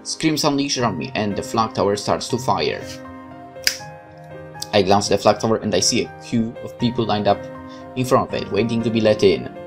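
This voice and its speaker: A woman's voice: rumbling low, lively intonation, moderate pace, over music. A woman reading a game's story aloud and adding casual commentary as she plays. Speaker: game player narrating a playthrough.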